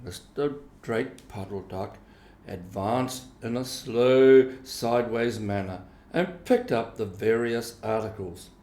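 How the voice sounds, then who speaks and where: A man narrates a children's storybook at a slow, deliberate pace. His pitch swings widely as he dramatises each phrase, and the room rings with echo. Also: a little mellow. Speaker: storybook reader. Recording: echoey room.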